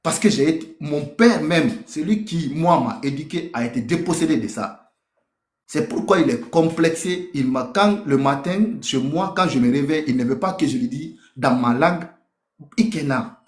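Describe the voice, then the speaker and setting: A man's voice: on the high side, lively intonation, moderate pace. A man from Africa speaking with emphasis in a group debate, giving impassioned personal testimony and repeating phrases for weight. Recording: echoey room.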